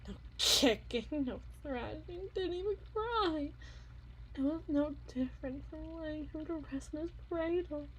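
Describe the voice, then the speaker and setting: A creepy obsessive wife, high breathy voice, talks real slow and halting, sweet but menacing, asmr drama. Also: female voice, rough recording. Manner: theatrical, halting, drawn-out repetitions, swinging from hushed to intense. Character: unhinged, obsessive yandere wife, eerily tender and menacing. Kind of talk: ASMR roleplay, dramatic monologue.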